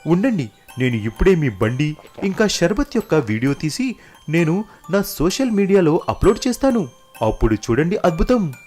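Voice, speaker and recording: Animated enthusiastic food vlogger , man acting. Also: on the high side, moderate pace, faint music behind.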